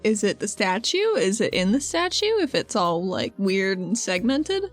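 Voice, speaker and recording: A woman's voice: mid-range voice, very animated, normal speed, faint music behind. A woman playing a tabletop role-playing game, casually narrating her character's actions.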